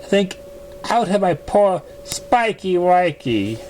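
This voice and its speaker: A man voicing a dramatic, genteel female pony dressmaker in a theatrical, drawn-out, affected manner. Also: high voice, very animated, a little mellow, slight background noise, pitched above the performer's own voice, exaggerated voice. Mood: melodramatic.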